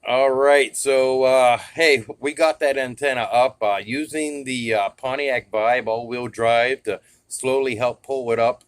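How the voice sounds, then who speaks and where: A man's voice: mid-range voice, fairly even intonation, measured pace, hardly any pauses, clean tone, no echo. Plain-spoken American man, dry close sound. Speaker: radio hobbyist vlogger. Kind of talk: casual vlog narration.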